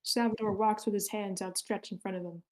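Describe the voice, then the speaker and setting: Even-toned woman reading screenplay stage directions. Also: mid-range voice, a little fast. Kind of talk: scripted narration at screenplay table read.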